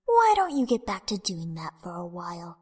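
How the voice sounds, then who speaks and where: A woman's voice: lowish voice, huge pitch swings, moderate pace, husky, heavily acted. A woman playing a cold, hateful possessing spirit in a fan comic dub, menacing and contemptuous. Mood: cold, scornful. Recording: voice under loud music.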